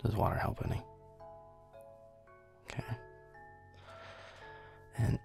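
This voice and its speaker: A man's voice: slow, music in the background, lightly acted. A man playing a grumpy, defensive werewolf boyfriend in a roleplay audio, muttering sarcastically as he plays a video game. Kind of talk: roleplay audio, gaming chat with listener.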